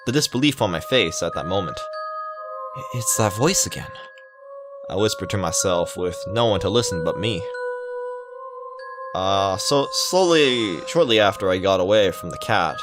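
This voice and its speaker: A man with a fairly low voice hosts a game playthrough. He reads the story aloud and breaks into casual, rambling, halting commentary, with music clearly playing underneath. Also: expressive. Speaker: gaming video host. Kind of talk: visual novel read-aloud with casual commentary.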